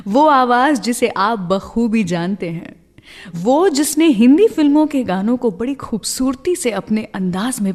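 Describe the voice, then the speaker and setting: A woman's voice: on the high side, darkish tone, in a large hall. An Indian woman hosting a radio music show in a chatty, conversational way, slipping English phrases into her talk.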